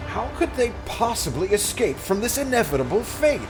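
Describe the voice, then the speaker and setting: Theatrical, animated male serial narrator. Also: high-pitched, moderate pace, music in the background. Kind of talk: mock-dramatic scripted serial narration.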